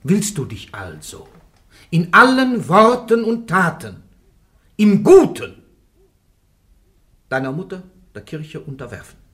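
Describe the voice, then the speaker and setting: A stern churchly interrogator. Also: male voice, high voice, huge pitch swings, slow pace, pauses often, pushed and bright, heavily acted. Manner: stern, admonishing, lecturing. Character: stern male church interrogator, middle-aged. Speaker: interrogating judge. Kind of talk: radio play acting.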